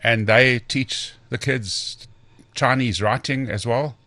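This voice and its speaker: A man's voice: medium pitch, takes it slowly, bright, forceful tone, dry recording. A man from South Africa speaking for his school as a podcast guest, explaining plainly with occasional ums. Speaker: school representative.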